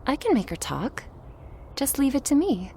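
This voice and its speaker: A woman's voice: sits high, very animated, moderate pace, darkish tone, slight background noise. A woman playing a sly, taunting, thirsty vampire outsider in a scripted audio drama, teasing and smug. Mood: eager, smug.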